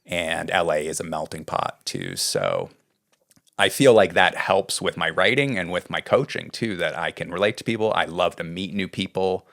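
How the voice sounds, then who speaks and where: A middle-aged man from California, a writing coach being interviewed, talking in a relaxed, rambling way. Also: sits low, moderate pace, gravelly. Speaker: guest, script doctor and writing coach. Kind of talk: casual interview talk.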